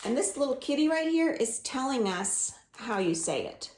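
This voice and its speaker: A woman librarian reading a picture book and singing for young children at home, slow and coaxing, inviting them to join in. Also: high voice, very animated, a little mellow, reverberant, slight background noise, rough recording. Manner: slow, singsong and coaxing, asks the listeners to join in.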